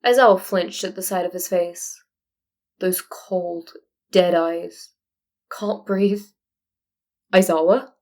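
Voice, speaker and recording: A woman's voice: on the low side, fairly even intonation, slow, long pauses, darkish tone. A woman reading scripted fan fiction as an audiobook narrator.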